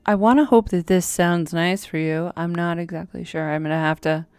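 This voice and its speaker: Lady rambling on her home livestream, low smooth voice, dry close sound, kinda makes fun of herself. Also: steady tone, moderate pace, a little mellow, no room sound, soft background music. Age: adult caregiver, former radio worker. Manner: rambling, self-deprecating, laughs often. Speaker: live stream host. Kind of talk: casual live stream chat.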